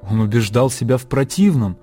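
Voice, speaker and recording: Measured male narrator over music. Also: mid-range voice, animated, mellow, breathy edge. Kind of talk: literary narration for a radio play. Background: music and birdsong.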